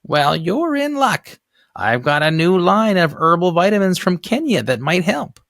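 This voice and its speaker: Man putting on a shrill, gushing older lady neighbour. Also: sing-song, fairly bright tone, no room sound, clean studio sound, full character voice. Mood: gushing, nosy. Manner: shrill, eager, drawn-out calling. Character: gushing, nosy older woman neighbour, pushy saleswoman.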